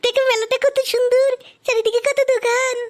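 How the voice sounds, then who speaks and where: Casting: little cartoon grandchild, extremely high squeaky voice, wheedling and eager. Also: level tone, fairly quick. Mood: wheedling, excited. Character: small eager grandchild begging for sweets. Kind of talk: Bengali comedy cartoon dubbing.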